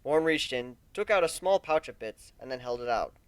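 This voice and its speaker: Animated man narrating a story. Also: mid-range voice, fairly quick, clean tone, no echo. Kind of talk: dramatic reading of a fan fiction story.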